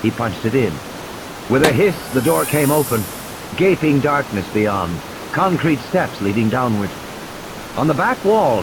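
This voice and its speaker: Man narrating with lively, varied pitch. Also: noisy background. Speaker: audiobook narrator. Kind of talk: scripted dramatic story narration. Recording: music and sound effects behind the voice. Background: music and background sound effects.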